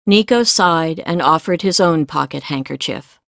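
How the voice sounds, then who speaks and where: Lower-pitched woman narrating a novel. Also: normal speed, gravelly, a bit bright, no echo, clean studio sound. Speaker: audiobook narrator. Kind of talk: scripted fiction narration.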